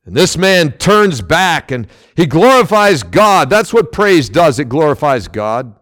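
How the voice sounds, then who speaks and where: A man preaching a sermon as pastor, loud and emphatic, with sudden swells and drops in his delivery. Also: fairly high pitch, very animated, a little slow, faint music behind.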